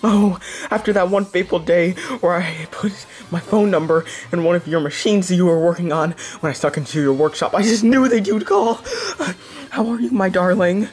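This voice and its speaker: A man's voice: sits high, steady tone, moderate pace, lightly acted. A man voicing an obsessive, lovesick female cat, overdramatic and gushing, in an amateur plush comedy skit.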